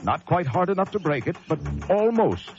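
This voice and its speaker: A man's voice: high-pitched, sing-song, moderate pace, slight background noise. An actor playing a tense, desperate lighthouse keeper who narrates his ordeal in dramatic, urgent old-time radio thriller style. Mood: exhausted dread. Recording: dull vintage radio recording, loud music.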